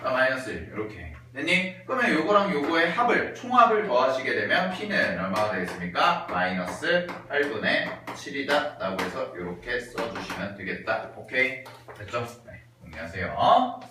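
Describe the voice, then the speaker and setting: Male math teacher, hoarse voice, noisy echoey classroom. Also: mid-range voice, normal speed, recorded from a distance. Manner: lively, checks in with students often. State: voice going hoarse.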